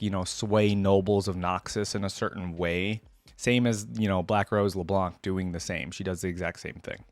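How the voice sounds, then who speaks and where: A man's voice: on the low side, dry recording. A man hosting a reaction video, moving between informal, rambling commentary and reading text aloud. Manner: informal, rambling, thinking out loud.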